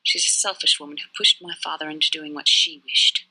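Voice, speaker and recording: A woman's voice: sits low, fairly even intonation, brisk, bright, forceful tone, full character voice, poor audio. A woman playing a proud, guarded young warrior-monk and resentful daughter in a video game, speaking formally, clipped and earnest. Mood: troubled, resentful. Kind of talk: dramatic scripted game dialogue.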